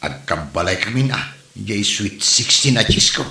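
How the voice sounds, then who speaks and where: A man's voice: on the low side, expressive, normal speed, creaky, bright, forceful tone, reverberant, exaggerated voice. A man playing a grumbling, philandering husband in an Ilocano comedy drama, with an Ilocano Filipino accent. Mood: boastful.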